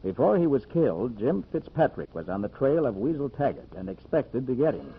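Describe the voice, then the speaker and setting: An actor playing a calm, observant master detective in an old-time radio mystery, measured and courteous. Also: male voice, mid-range voice, slightly rough, exaggerated voice. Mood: composed, assured. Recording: dull old radio recording.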